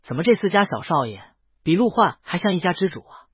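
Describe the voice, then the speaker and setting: A man voicing baffled, gossiping high-society party guests in an audio story, in an incredulous manner. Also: very animated, fairly quick, slightly rough, no echo, dull audio, heavily acted. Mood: stunned.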